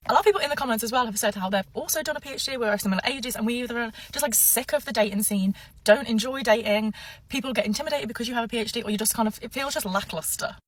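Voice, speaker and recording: A woman's voice: on the high side, low-quality recording. A woman talking casually to camera, chatty and laughing often. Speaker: social media poster.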